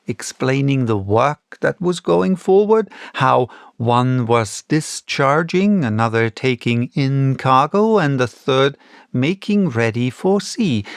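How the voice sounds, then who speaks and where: Male audiobook reader, unhurried literary narration, creaky edge, dry close sound. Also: mid-range voice, no room sound.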